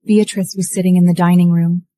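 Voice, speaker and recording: A woman plays a cool, defiant heiress telling her own story of revenge. Her voice sits low and mellow, recorded dry and close, in measured dramatic narration. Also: little pitch movement, no echo, close to an everyday voice. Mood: measured, tense. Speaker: narrator and lead of an audio drama. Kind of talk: scripted first-person dramatic narration.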